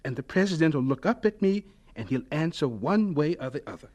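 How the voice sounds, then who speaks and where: A man's voice: fairly high pitch, lively intonation, a little fast, a little mellow. An actor in a radio drama playing a devout, earnest young man who speaks politely and with determination. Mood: determined.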